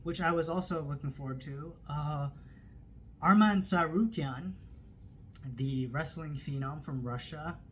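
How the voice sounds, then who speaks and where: A man's voice: a little high, measured pace, a bit bright, a little hiss or hum. A man hosting a solo combat sports podcast, recapping fight results in a casual, unscripted way with plenty of fillers and self-corrections. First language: not Turkish. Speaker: podcast host. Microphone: phone camera.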